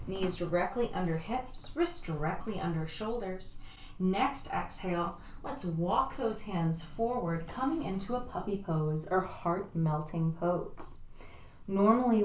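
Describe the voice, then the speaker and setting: A woman yoga teacher talks students through poses and breathing in an unhurried, soothing manner, her voice sitting a little low. She sounds set back from the mic, so the room's echo rings around her cues. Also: slight background noise. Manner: calm, soothing step-by-step cues. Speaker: yoga instructor. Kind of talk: guided yoga class instruction. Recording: roomy echo, voice from a distance.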